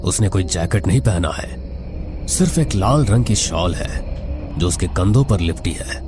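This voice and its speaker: A man's voice: low-pitched, expressive, a little slow, noisy background. A man narrating a scripted horror story in a dramatic, suspenseful manner.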